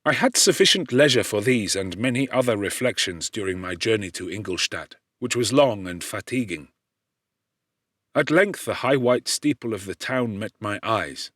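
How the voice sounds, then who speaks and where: A man narrating a classic novel as an audiobook in a measured, formal and expressive way. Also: on the low side, no room sound, studio quality.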